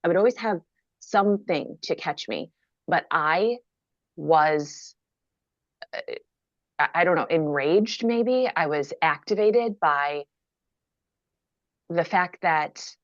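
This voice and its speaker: A low-pitched woman from Minnesota speaks frankly and wryly, undercutting heavy moments with dry self-deprecating jokes. Her voice sits dead and close, with no room around it, and comes through a dull, lo-fi video call line. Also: takes it slowly, long pauses, no room sound. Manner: candid, wry, self-deprecating humor. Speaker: guest author. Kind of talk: conversational interview answer.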